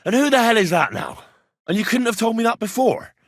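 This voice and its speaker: An actor playing a blunt, plain-spoken young medieval adventurer in a video game, talking in an earthy, sweary, conversational way. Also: male voice, very high voice, big pitch swings, brisk, dry recording, studio quality, heavily acted. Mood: irritated, confrontational. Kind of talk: video game dialogue acting.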